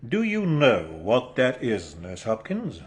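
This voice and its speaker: A formal prosecuting barrister in a radio drama. He questions in a measured, slightly hesitant way, with a mid-pitched and very lively voice. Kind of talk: British radio drama acting.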